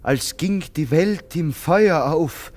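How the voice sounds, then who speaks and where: An actor playing a poor, brooding soldier in a German radio play, humble, haunted and halting in his speech. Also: on the high side, a little mellow. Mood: haunted, urgent. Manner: halting, earnest, deferential.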